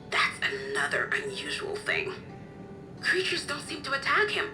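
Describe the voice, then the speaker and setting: An actress playing a kindly, motherly guardian in a video game cutscene, explaining things in a gentle storytelling way. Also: lowish voice, low-quality recording. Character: kindly motherly woman, caring guardian of an orphaned boy.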